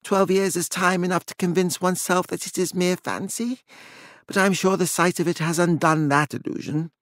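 A man's voice: sits high, steady tone, moderate pace, fairly bright tone, no echo, studio quality, pitched above the performer's own voice. A cold, commanding woman in an audiobook tale, played by a male narrator. The voice sits raised, with a level and severe formal delivery. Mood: cold, stern.

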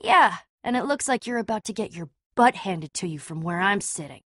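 A woman voicing a tough, guarded but loyal young female adventurer in an animated adventure game, husky and blunt, with a casual, earnest delivery. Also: medium pitch, fairly quick, no room sound, studio quality. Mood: taunting, angry. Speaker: game voice actress.

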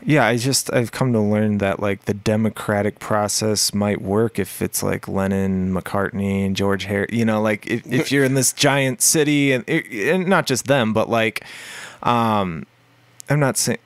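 A man with a deep voice, a musician guest in a casual podcast interview, talking in a rambling way with many fillers and restarts. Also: unhurried, smooth, no echo. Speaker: guest musician, singer and guitarist.

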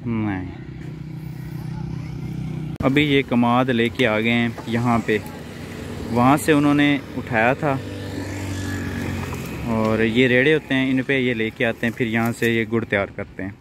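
Male vlogger, Punjabi accent, mid-pitched, casual interview chat and commentary, outdoor location sound with faint noise. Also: fairly even intonation, a little slow, long pauses. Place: outdoors in a village. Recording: outdoor field recording, faint background noise.

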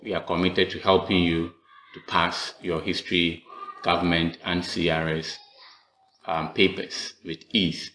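A man in his thirties with a Ghanaian accent, speaking haltingly as a teacher introducing an instructional video. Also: low-pitched, level tone, fairly bright tone. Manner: halting, measured delivery with pauses mid-phrase. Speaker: teacher, channel host. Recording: echoey room with faint background noise.

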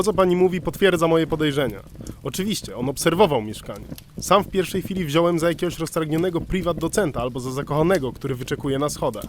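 A man playing a flattering, verbose, clownish devil's retainer in an amateur radio drama, with florid theatrical delivery. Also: a little high, a little hiss or hum, close to an everyday voice. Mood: confiding.

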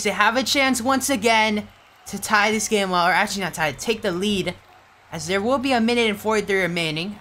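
A tired young man doing casual, rambling play-by-play commentary for an online game league, getting excited on big plays. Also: high voice, a little hiss or hum.

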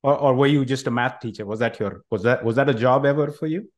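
A man in his forties hosts a relaxed, conversational interview. His voice sits at an average pitch, recorded indoors on a video call. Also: fast. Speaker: interview host.

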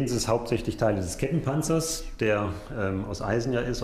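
A man's voice: lowish voice, gravelly, a little mellow. Echoey male expert explaining on site. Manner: factual, explanatory, spontaneous phrasing. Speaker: archaeology expert and site guide. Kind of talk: spoken interview explanation. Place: on location at a museum site. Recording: echoey on-site sound with background noise.

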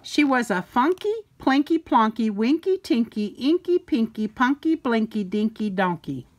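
An older woman reading a children's picture book aloud in a playful, sing-song way with deliberate emphasis. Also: on the high side, lively intonation, a little hiss or hum. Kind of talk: storybook read-aloud.

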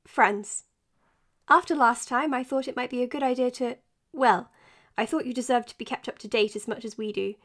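Earnest, high-voiced anxious doctor. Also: female voice, normal speed, a bit bright. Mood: earnest, subdued. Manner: hesitant, earnest. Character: anxious, caring, tired young female doctor. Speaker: voice actor in audio drama. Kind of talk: naturalistic audio drama, confessional podcast narration.

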